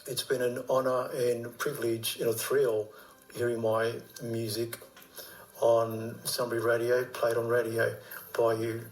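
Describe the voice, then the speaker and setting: A man's voice: medium pitch, monotone, measured pace, poor audio. A man with an Australian accent, a musician giving a casual recorded thank-you message.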